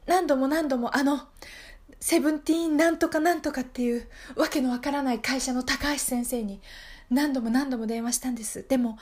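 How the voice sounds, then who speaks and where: A woman's voice: high voice, steady tone, a little fast, darkish tone, lightly acted. A woman playing a desperate, gullible single woman in her thirties, pleading and repeating herself in an improvised radio drama. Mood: agitated, earnest. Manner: pleading, breathless repetition, overwrought.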